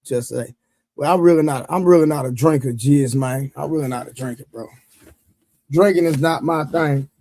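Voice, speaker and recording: A hoarse man hosting a live panel stream, talking in loose, teasing slang. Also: on the high side, normal speed, dark tone, no room sound. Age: adult with an eleven-year-old son. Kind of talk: casual live-stream banter.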